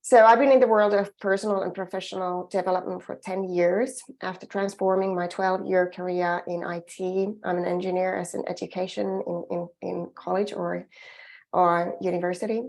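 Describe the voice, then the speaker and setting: A woman's voice: lowish voice, flat delivery, moderate pace, creaky, darkish tone. A middle-aged woman from Finland with a Finnish accent, giving an earnest, encouraging webinar presentation as a well-being coach, often restarting her phrases.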